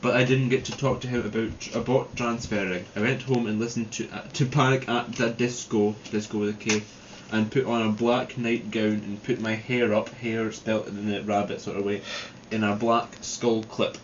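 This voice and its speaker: A man's voice: on the low side, flat delivery, normal speed. A Scottish man casually reading fan fiction aloud among friends, with amused, mocking asides and some swearing. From Scotland. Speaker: reader and co-host. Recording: muffled lo-fi room recording with hiss.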